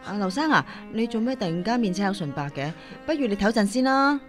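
A woman's voice: medium pitch, expressive, fast talker, soft background music, natural voice. A woman acting in a Cantonese radio drama as a caring, firm singing teacher, earnest and admonishing, with a Hong Kong accent. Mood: concerned.

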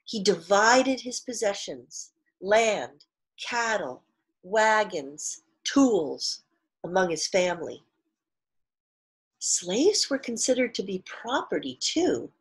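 A middle-aged woman reading a storybook aloud expressively like a teacher, deliberately stressing key words and pausing to explain. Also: medium pitch, very animated, breathy edge. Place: small living room at home, indoors.

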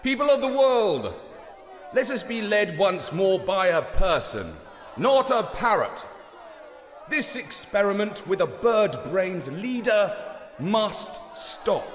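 A man voicing an indignant protest speaker shouting declamatory lines at a rally in a children's story. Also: high voice, very animated, takes it slowly, voice pushed up, full character voice. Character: rabble-rousing male protest speaker at a rally. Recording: echoey public-address hall sound, crowd noise.

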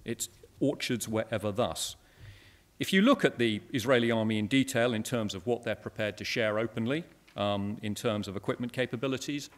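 A man, giving a matter-of-fact conference talk from a lectern in a lecture hall, with dry asides to the audience. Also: on the low side. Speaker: conference speaker. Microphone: lectern microphone.

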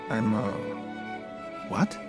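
An actor playing a gentle, bashful landowner in love in an animated Bible story, halting and earnest. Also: male voice. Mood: astonished, hopeful. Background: music.

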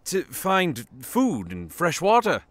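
A man's voice: fairly high pitch, wildly swooping pitch, takes it slowly, fairly bright tone, no echo, exaggerated voice. A man playing a grim sailor in a fantasy audio drama. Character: grim male sailor recounting lost crewmates.

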